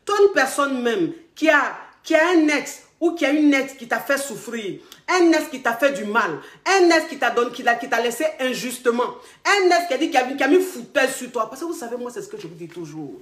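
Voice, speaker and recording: A woman's voice: high-pitched, sing-song, fairly quick, few pauses, a bit bright, roomy sound. A woman with an Ivorian accent giving relationship advice straight to camera. She talks emphatically, repeats herself and calls viewers darlings, and she has a cold with a sore throat. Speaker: relationship coach. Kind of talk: direct-to-camera advice monologue.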